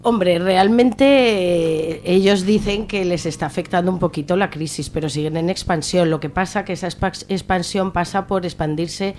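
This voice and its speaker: A female union worker with an accent from Spain speaking as a radio guest, her voice a bit low. She explains conversationally, pausing and correcting herself, with soft music underneath.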